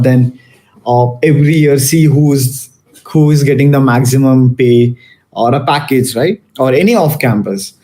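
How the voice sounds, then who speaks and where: A man's voice: mid-range voice, warm tone. A young man from Malaysia giving a casual talk to students, with many fillers and restarts. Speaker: guest speaker.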